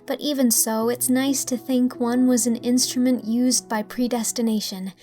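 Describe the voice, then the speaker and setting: Romantic young heroine, put on by a female reader, high and breathy, idealistic, storybook drama with music. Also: steady tone, normal speed, dark tone, close to an everyday voice. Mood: rapturous, romantic. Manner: breathy, rapturous. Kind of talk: dramatised audiobook acting.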